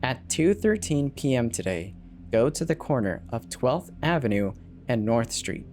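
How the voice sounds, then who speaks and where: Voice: mid-range voice, sing-song, a little slow, darkish tone, slight background noise. A narrator voicing an anonymous stalker's sinister, commanding demands in a horror story reading. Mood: menacing. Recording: background music behind the voice.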